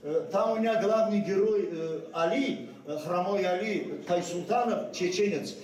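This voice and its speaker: Older man, high voice, echoey hall. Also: darkish tone, far from the mic. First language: Ossetian. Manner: halting, many hesitations and restarts. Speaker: guest speaker at a memorial ceremony. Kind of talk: unscripted ceremonial speech. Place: school hall at a public ceremony.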